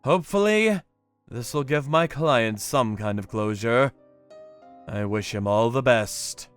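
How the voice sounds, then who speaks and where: A man voicing a grim, vengeful torturer who narrates his work in a manga dub with deliberate menace. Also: medium pitch, clear, resonant tone, faint music behind, close to an everyday voice. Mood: grim, reflective. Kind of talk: dramatic first-person manga dub narration.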